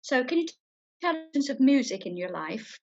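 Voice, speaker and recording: High-voiced woman hosting, dull sound. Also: sing-song, a little mellow. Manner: friendly, halting, clears throat. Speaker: interview host. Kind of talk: hosting a church breakfast interview. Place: church hall.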